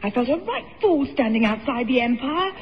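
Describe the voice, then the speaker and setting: A woman's voice: high voice, lively intonation, a little fast. A woman playing a bossy, snappish big sister bickering in a 1960s radio sitcom. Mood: irritated. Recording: muffled, echoey old radio recording with audience.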